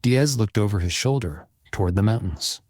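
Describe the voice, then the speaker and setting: A man reads serialized fiction in a low, husky voice. His delivery is measured and literary, like an audiobook, and the sound is close and dry. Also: lively intonation, darkish tone, dry recording. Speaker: author narrating own serialized fiction podcast. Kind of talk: scripted audiobook narration.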